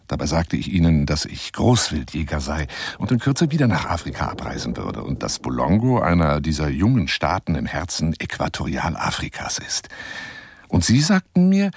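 Radio drama monologue: boastful, lonely bachelor hunter, theatrical and courtly, gravelly with highly animated intonation. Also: male voice, exaggerated voice. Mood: eager, chatty.

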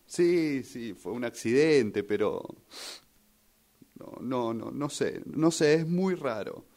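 Un actor como hijo en duelo, argentino, dubitativo. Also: male voice, mid-range voice, lively intonation, slow pace, darkish tone. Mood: troubled, doubtful. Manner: hesitant, repeats phrases. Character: grieving son troubled by suspicions over his father's death. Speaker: radio drama workshop participant. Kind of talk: rehearsed radio drama acting.

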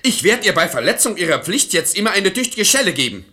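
A man's voice: high-pitched, sing-song, quick pace, bright, forceful tone, exaggerated voice. An actor playing a stubborn, irascible, domineering father in a theatrical radio play in verse, declaiming with pompous emphasis. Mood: angry.

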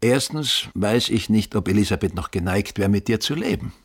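An actor playing a brisk, boastful older father-in-law in a radio drama, blunt and self-important, with a husky voice. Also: on the low side, animated. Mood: blunt, businesslike.

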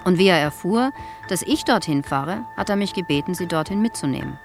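An actress playing a plain-spoken theatre dresser in her late fifties, recounting haltingly and reflectively in a radio play. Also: fairly low pitch, expressive. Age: 50s. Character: reserved theatre dresser aged fifty-nine, plain-spoken, regretful. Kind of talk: radio play acting, interview testimony. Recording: music under the voice. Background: music.

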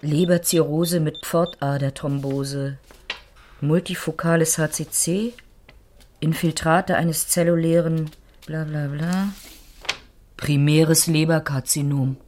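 Low-voiced, dry female care worker. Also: flat delivery, slow pace, darkish tone, slight background noise. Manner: matter-of-fact, dry. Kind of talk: radio drama dialogue.

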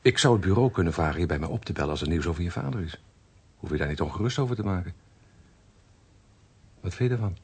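An actor playing a protective, helpful man in a Dutch radio play, with a Netherlands Dutch accent and a husky voice. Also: low-pitched, expressive, quick pace, pauses often, heavily acted. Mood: considerate. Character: protective man offering a woman help.

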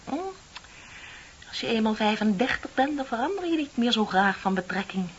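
Actress as composed, needling office clerk, hissy recording. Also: female voice, fairly high pitch, expressive, natural voice. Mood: smugly composed. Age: middle-aged. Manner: theatrical, deliberate, pointed. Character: unmarried office clerk in her thirties, composed, bitter, needling. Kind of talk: radio play acting. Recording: dull, hissy old radio play recording.

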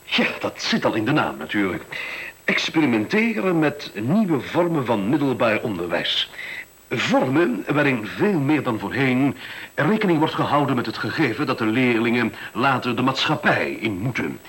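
A man's voice: medium pitch, sing-song, normal speed, fairly bright tone, slightly rough, rough recording. A man acting in a Dutch radio play as a middle-aged school director, defensive and officious, hesitating and then lecturing. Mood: lecturing, self-assured. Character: defensive, self-important male school director pitching efficiency.

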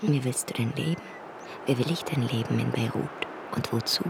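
An actress playing a feverish young wife who talks in hushed, breathy whispers, in a noisy old radio play recording. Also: female voice, rumbling low, normal speed. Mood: doubtful. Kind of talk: radio drama acting.

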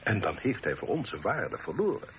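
Authoritative father, animated intonation. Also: fairly low pitch, normal speed, a little hiss or hum. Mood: confidential, explanatory. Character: authoritative, worldly father advising his grown son. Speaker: radio drama actor. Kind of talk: Dutch radio play acting.

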